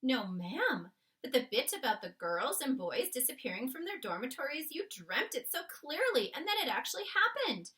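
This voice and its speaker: Lady doing a middle aged maid in a storybook, all flustered and ma'am-ing, voice swooping up and down, sharp. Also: high voice, very animated, normal speed, far from the mic, exaggerated voice. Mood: shaken. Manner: deferential, exclamatory, addressing her mistress as ma'am. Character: flustered, loyal middle-aged palace maid, quick to scold.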